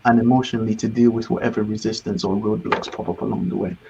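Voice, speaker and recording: A man guesting in a casual interview, relaxed and reflective with self-deprecating humor. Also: fairly low pitch, fast, creaky, dull audio, background noise. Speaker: business coach guest.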